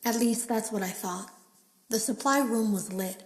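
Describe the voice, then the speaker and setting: A woman's voice: a little high, moderate pace, a little mellow, natural voice. A woman playing an uneasy tech worker, recounting strange events in a wary, confiding way for a game audio log. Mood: haunted, wary. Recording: echoey room with faint background noise.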